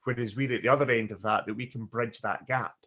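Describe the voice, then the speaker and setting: British man telling an animated story. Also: mid-range voice, fast talker, muffled recording. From Britain. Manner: animated storyteller, acts out quotes. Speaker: podcast co-host. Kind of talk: casual conversation.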